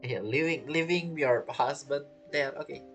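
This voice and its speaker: A woman giving casual gameplay commentary, reacting and thinking out loud as she streams. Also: deep voice, moderate pace, faint music behind. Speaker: game streamer.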